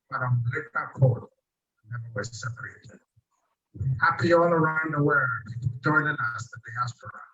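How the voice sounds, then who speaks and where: A man from Ghana with a Ghanaian accent, giving a measured public presentation as a project chairman. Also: a little high, a bit bright, dull audio.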